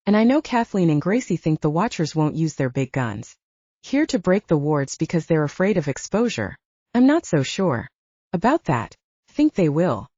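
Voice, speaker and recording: A serious male mentor as a woman audiobook reader plays him gives candid, persuasive advice. The delivery is expressive and dark-toned within a fictional story. The recording is dry and a bit dull. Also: deep voice, no room sound. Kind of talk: audiobook character dialogue. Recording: dull, band-limited audio.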